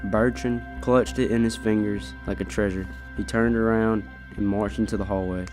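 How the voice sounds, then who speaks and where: A man's voice: on the low side, fairly even intonation, moderate pace, music in the background, close to an everyday voice, rough recording. A teenage boy reading scripted storybook narration in an amateur school radio play. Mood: measured. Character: measured storybook narrator of a gothic tale.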